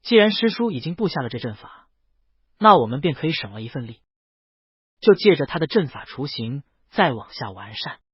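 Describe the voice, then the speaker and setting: A man voicing a composed, respectful female immortal cultivator, explaining in a calm, measured way. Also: on the high side, breathy edge, no room sound, highs cut off, heavily acted.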